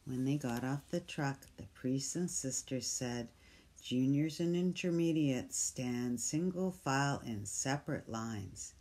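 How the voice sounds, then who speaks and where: Slow, gentle storybook reader with dark tone. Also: medium pitch, lively intonation. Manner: gentle, soft, drops to whispers. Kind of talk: slow picture-book read-aloud.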